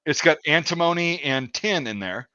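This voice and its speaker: A man's voice: on the high side, animated, normal speed, bright, forceful tone, no echo, highs cut off. A man hosting a casual livestream chat, profane and rambling, often restarting his sentences. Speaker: show host.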